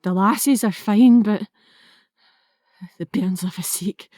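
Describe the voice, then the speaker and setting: A woman's voice: mid-range voice, slow pace, dark tone, no room sound, clean studio sound, close to an everyday voice. A woman playing a distressed, urgent Scottish mother in a historical audio drama in Scots. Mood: worried, despairing. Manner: urgent, pleading. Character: distressed Scottish mother soothing her feverish man.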